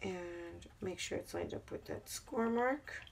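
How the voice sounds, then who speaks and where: A hoarse woman chats her way through a craft tutorial at her worktable, her voice gravelly and rough. The camera mic picks up a noticeable hiss behind her. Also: lowish voice, slow pace. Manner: chatty, talks while working with her hands. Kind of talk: casual craft tutorial narration. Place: craft table at home.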